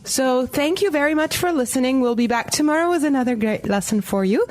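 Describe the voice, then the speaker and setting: Clear, instructive female lesson co-host. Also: high voice, lively intonation, fairly quick, a little mellow. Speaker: podcast co-host and language teacher. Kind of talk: conversational language lesson.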